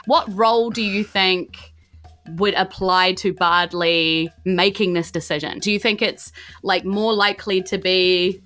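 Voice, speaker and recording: Kiwi woman dming a dnd podcast, bright clear-cut voice, chatty and rambly, faint music under her. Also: fairly low pitch, a little slow. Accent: New Zealand. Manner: informal, rambling, prompting the players with questions. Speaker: dungeon master of a tabletop game podcast. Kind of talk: improvised game narration, casual chat.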